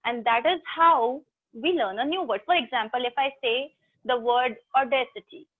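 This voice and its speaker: A woman's voice: on the high side, moderate pace. A woman from South India with an Indian English accent, teaching an online English class in a chatty, teasing way and talking straight to her students. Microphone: video call. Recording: tinny video-call audio.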